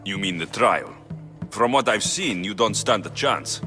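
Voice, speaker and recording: An actor playing a blunt, self-assured Greek mercenary hero in a video game, speaking tersely. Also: male voice, lowish voice, animated, measured pace, over music. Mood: matter-of-fact. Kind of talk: video game cutscene acting.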